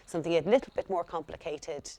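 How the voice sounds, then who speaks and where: A young woman working as a school liaison coordinator, explaining her work in a steady, earnest way. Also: sits low, fast. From Ireland. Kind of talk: speaking to camera about her work.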